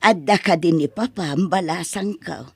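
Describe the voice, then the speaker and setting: A woman's voice: lowish voice, moderate pace, husky. A woman playing a poor, loving mother in a drama, with an apologetic, deferential manner. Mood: hesitant, pleading. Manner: plaintive, deferential. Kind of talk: Ilocano radio-style drama acting.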